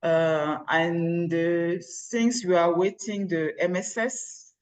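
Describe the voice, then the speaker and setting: A woman's voice: fairly low pitch, steady tone, slow pace. A woman presenter answering on a video call, hesitant with many fillers and non-native phrasing.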